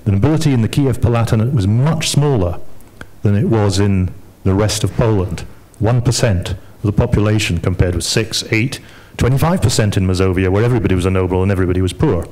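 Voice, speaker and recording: Male historian, low voice, digressive lecturing manner at a panel discussion. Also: a little mellow, rough recording. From Scotland.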